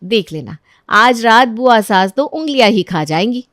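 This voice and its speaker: A woman's voice: on the high side, expressive, moderate pace, a bit bright, dry recording, clean studio sound. A woman voicing a scheming, jealous young sister-in-law in a melodramatic story, two-faced and falsely sweet.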